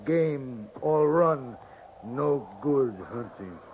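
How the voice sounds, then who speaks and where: Wary Sioux brave, very slow terse broken English, 1950s radio western, hissy narrow-band recording. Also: male voice, mid-range voice, expressive. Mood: grave complaint. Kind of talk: 1950s radio drama acting.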